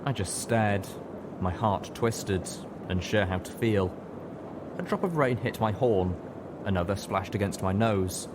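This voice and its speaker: A man narrates a first-person story in a low-pitched voice. The recording carries clear background noise under his scripted reading. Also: unhurried. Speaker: audiobook reader.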